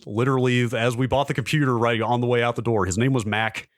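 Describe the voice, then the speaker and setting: A man's voice: medium pitch, steady tone, fast, dry recording, studio quality. A man co-hosting a podcast, chatting casually and telling anecdotes in a geeky, emphatic way. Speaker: podcast co-host. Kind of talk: casual chat, recounting anecdotes.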